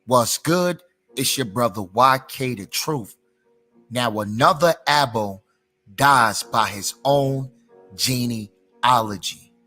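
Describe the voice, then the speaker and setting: A man hosting his channel, giving commentary in a slow, emphatic, drawn-out way. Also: medium pitch, sharp tone, soft background music. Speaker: channel host.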